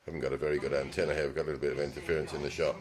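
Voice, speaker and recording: A man demonstrating a car radio in his small repair shop, talking casually, with ums and throat clearing. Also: extremely low pitch, flat delivery, very fast. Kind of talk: casual hands-on product demonstration. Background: car radio playing music.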